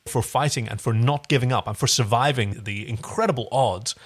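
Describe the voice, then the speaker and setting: A man's voice: medium pitch, fairly quick, breathy edge. Male podcast co-host, casual and enthusiastic. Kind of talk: casual episode recap commentary.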